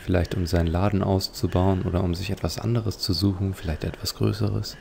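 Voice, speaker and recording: A man's voice: low-pitched, flat delivery, a little fast, gravelly, darkish tone, slight background noise. A man hosting a let's play, giving leisurely, reflective commentary on a video game.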